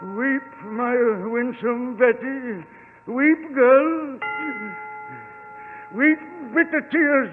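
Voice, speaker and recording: Obsessive bell ringer, melodramatic, drawn-out pleading, vengeful, vintage radio play, noisy reverberant recording. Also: male voice, very high-pitched, full character voice. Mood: tearful crooning. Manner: pleading, melodramatic, crooning to bells as children. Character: anguished, obsessive, vengeful old-time village bell ringer. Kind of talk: 1940s radio acting. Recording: echoey, noisy old radio recording, tinny.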